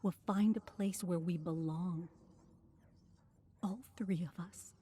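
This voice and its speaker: A woman voicing a tender, desperate young woman in a story, gentle, wistful and half-whispered. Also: fairly low pitch, steady tone, takes it slowly, slightly rough, pitched above the performer's own voice. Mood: pleading, hopeful. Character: tender, desperate young woman comforting her frail brother.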